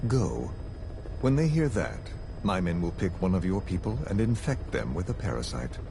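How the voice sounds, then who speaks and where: An actor playing a calm, scheming villain who speaks in a slow, measured and quietly threatening way. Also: male voice, deep voice, vocal fry, heavily acted. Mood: menacing. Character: cold, menacing male villain, calm and scheming. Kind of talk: game cutscene dialogue. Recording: noisy game audio recording.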